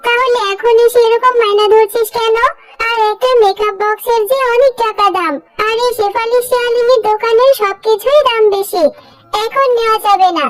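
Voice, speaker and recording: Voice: very high voice, steady tone, normal speed. An actor voicing a poor, weary but caring mother who scolds and soothes, in a cartoon story with music behind. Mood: scolding. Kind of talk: Bengali cartoon story dubbing.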